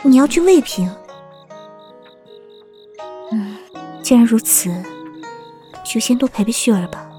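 A woman plays a caring young wife in an ancient Chinese household drama. Her voice is fairly high and very mellow, gentle in manner, over background music. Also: expressive, takes it slowly, long pauses. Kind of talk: Chinese period audio drama acting. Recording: music behind the voice.

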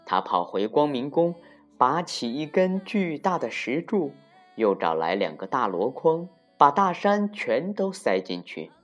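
A woman with a very deep voice tells a children's story slowly and expressively, her pitch rising and falling a lot. She sounds a little muffled, and music plays along. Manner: animated, deliberate, dramatic emphasis. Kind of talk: expressive children's folktale narration.

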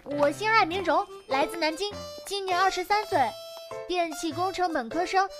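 A woman's voice: very high voice, moderate pace, over music. A woman playing a bubbly, pushy young job applicant in a radio drama, gushing and playfully wheedling. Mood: eager pleading. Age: young adult.

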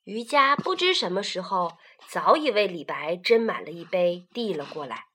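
A woman narrating a story for children, slow and expressive. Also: on the low side, breathy edge.

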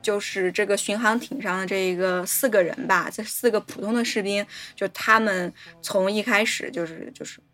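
A woman's voice: medium pitch, steady tone, normal speed, hardly any pauses, a bit bright, soft background music. A woman co-hosting a podcast, talking reflectively about film in short, casual phrases. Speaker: podcast co-host.

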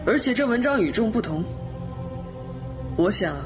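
Voice actor as a smug death god lord, sly and mocking, mid-pitched, teasing the listener, radio-drama scene with music underneath. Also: expressive, moderate pace, close to an everyday voice. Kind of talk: Chinese audio drama acting.

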